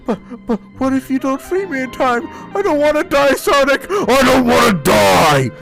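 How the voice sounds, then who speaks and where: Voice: animated, moderate pace, gravelly. A performer voicing a frightened young male fox sidekick who stammers and quivers in an amateur comedic fanfiction reading. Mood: terrified, pleading. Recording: old-timey low-quality mic, music.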